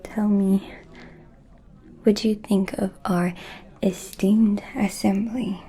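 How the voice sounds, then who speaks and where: Woman acting as an ancient vampire lady, asmr roleplay, breathy mellow whisper, super slow n seductive, kinda menacing. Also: medium pitch, fairly even intonation, natural voice. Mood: coolly intrigued. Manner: slow, deliberate, pausing, intimate.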